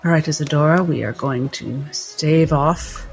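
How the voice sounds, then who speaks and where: Lowish-voiced woman playing a tabletop game. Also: a little slow, creaky, soft background music, rough recording. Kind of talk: narrating own character's moves in game.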